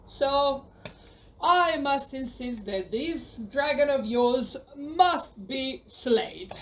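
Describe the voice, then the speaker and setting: A woman's voice: high voice, expressive, extremely slow, fairly bright tone, a little hiss or hum, heavily acted. A woman playing a brave, hearty knight in an audio play, courteous and stubborn, with a grand formal manner. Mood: doubtful, firm. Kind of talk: community audio play acting.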